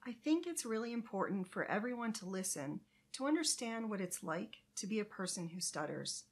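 A woman in her thirties who hosts software training videos, talking casually and reacting to old clips, with a mild stutter and occasional repeated words. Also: medium pitch. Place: home office with bookshelves.

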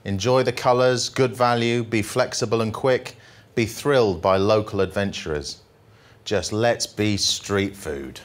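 A middle-aged man with a fairly low voice speaks into a handheld mic in a presentation room. He delivers verse and asides to his listeners with deliberate, mock-solemn phrasing. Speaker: journalist reading poems at a workshop. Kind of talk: live poetry reading with casual asides to an audience. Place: indoor presentation room with projection screen. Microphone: handheld microphone.

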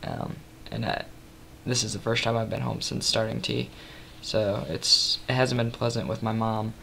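A young man talks into his webcam in a small room late at night, sounding worn out. His voice has a heavy gravelly fry and a little rasp, and he rambles along in a soft, low-key way with lots of ums. A faint hiss sits under the recording. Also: fairly even intonation, moderate pace. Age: 20s. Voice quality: slightly raspy from tiredness. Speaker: vlogger. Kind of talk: casual webcam update. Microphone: built-in webcam mic at close range.

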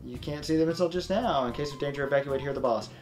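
A young man hosting a let's play, commentating casually in a self-described monotone, rambling through his explanations. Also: a little high, fast, faint music behind.

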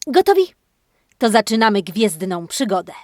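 A woman hosting a children's podcast, telling a playful interactive story in an animated, exclamatory way, speaking straight to kids and a dog. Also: mid-range voice, moderate pace, soft background music. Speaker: host of a children's speech-exercise podcast.

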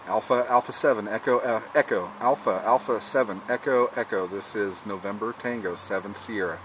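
A man's voice: on the low side, moderate pace, a bit bright, poor audio. A man speaking casually as an amateur radio operator testing his rig, recorded outdoors. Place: outdoors on a patio table beside a lawn. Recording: faint background noise, recorded outdoors.

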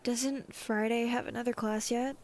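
A woman's voice: on the high side, fairly even intonation, normal speed, a little mellow, close to an everyday voice. A woman playing a young, bashful, dry-humoured goth college girl in a casual ASMR roleplay. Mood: dry, playful. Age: young adult. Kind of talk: ASMR roleplay audio drama.

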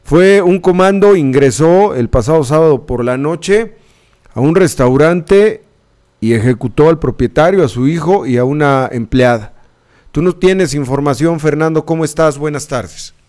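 A man, presenting radio news from a studio mic in a measured, emphatic way. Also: a little high, expressive, darkish tone. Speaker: news anchor. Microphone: studio mic on arm.

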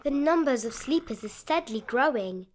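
A woman reading a rhyming children's picture book aloud in an expressive sing-song manner. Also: sits high, moderate pace, slight background noise. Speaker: storybook reader.

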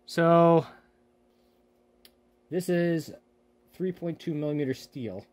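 A man's voice: a little high, takes it slowly, darkish tone, dry recording. A man talking casually as he welds in his home garage, rambling and correcting himself often. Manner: rambling, self-correcting, offhand. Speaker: hobby welder, channel host. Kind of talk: casual workshop talk.

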